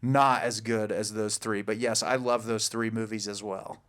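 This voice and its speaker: A man's voice: lowish voice, steady tone, moderate pace, a bit bright. A man in his thirties or older co-hosting a podcast, talking about films in an informal, enthusiastic way. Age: 30s or older. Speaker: podcast co-host. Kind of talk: casual film discussion.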